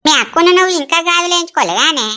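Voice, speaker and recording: A woman's voice: very high-pitched, lively intonation, racing, pushed and bright, heavily acted. A woman with a Telangana accent acting an emotional, blunt and remorseful elder sister in a rural family drama, speaking plaintively with many exclamations. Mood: blunt, curious.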